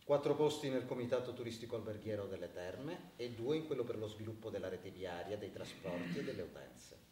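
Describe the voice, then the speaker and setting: Man playing shrewd, evasive president, lively pitch. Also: fairly quick, natural voice. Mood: calm, calculating. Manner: dry, non-committal, answering with pointed questions. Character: shrewd, evasive male association president, smugly bargaining. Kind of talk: naturalistic Italian radio theatre acting. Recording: echoey room, distant mic, faint noise.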